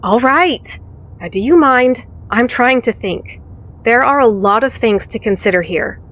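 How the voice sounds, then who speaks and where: An actress playing a serious, weary small-town sheriff in an audio drama, measured and authoritative. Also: female voice, a little high, expressive, a bit bright. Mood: strained. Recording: faint vehicle ambience noise.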